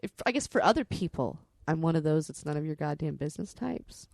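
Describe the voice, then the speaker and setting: A woman's voice: sits low, lively intonation, brisk, gravelly, darkish tone, no echo, clean studio sound. A woman podcast storyteller telling personal stories in a candid, rambling, salty-tongued way.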